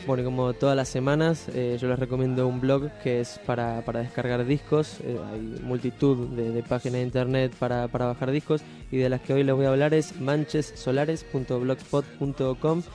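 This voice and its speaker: A man with an Argentine accent co-hosting an informal radio show, giving recommendations in a halting, hesitant way. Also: medium pitch, level tone, warm tone, faint music behind.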